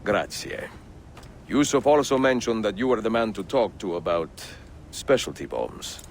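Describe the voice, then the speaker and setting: Deep, suave Italian assassin. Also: male voice, big pitch swings, measured pace, slight background noise. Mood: smooth, courteous. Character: suave, seasoned Italian assassin, gentlemanly and determined. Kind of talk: video game cutscene acting.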